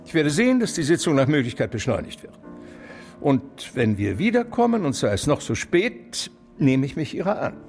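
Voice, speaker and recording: An actor playing a cool, imperious doctor in a German radio drama, brisk, formal and condescending. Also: male voice, on the high side, sing-song, darkish tone, soft background music.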